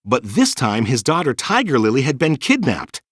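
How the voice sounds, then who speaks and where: A man narrates a children's story with lively, wide-swinging intonation. His mid-pitched voice is recorded dry, and he paces the tale clearly and expressively for young listeners. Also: moderate pace, no echo. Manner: expressive, clearly paced read-aloud storytelling.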